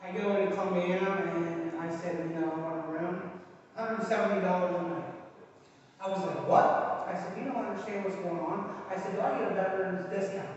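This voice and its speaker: A man tells his story at a public meeting, halting and full of ums. His voice is on the higher side for a man. He is picked up from far off in a big echoing hall, and the sound is muffled. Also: fairly even intonation, a little hiss or hum. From East Palestine, Ohio. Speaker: resident speaking at a public meeting. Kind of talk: personal account at a meeting. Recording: roomy hall, distant mic, muffled.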